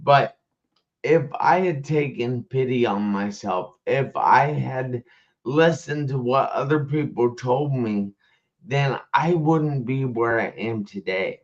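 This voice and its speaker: A man hosts a casual live-stream interview at a very slow pace. He is halting and often repeats words as he goes. The sound is dull and lo-fi, as from an online call. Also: mid-range voice. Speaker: live show host.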